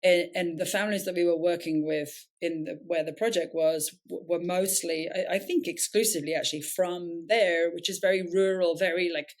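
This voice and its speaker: A woman's voice: lowish voice, fairly even intonation, normal speed, few pauses. A woman with an English accent, a nonprofit founder telling her story in an interview, reflective and earnest, often correcting herself mid-sentence.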